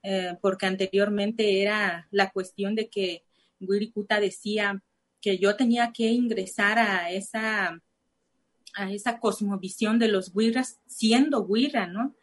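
A woman's voice: medium pitch, fairly even intonation, unhurried. Hesitant woman speaking Spanish. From Nayarit, Mexico. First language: Cora (Náayeri). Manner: hesitant, many fillers and restarts. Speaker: interview guest. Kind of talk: personal testimony.

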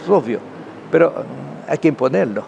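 Man, gravelly creak, interview guest, halting, emphatic, repetitive, faint background noise. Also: fairly high pitch, expressive, a little mellow, lo-fi sound. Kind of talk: spoken interview, explanatory opinion.

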